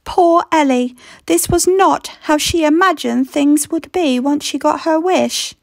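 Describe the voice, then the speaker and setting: A woman narrates a children's storybook with plenty of expression. Her voice is high and very melodic, and she reads slowly in a close, dry sound. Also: creaky, no room sound.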